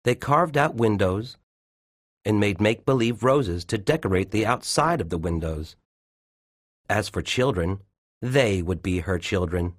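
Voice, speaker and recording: A man's voice: fairly low pitch, expressive, a little slow, dry recording, clean studio sound. A narrator, a man, reading a scripted children's story.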